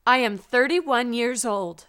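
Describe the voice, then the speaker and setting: Woman teacher reading learner drills, high and very clear, unhurried. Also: lively intonation, a bit bright. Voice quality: careful, clearly enunciated. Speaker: language teacher. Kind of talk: slow drill sentences for learners.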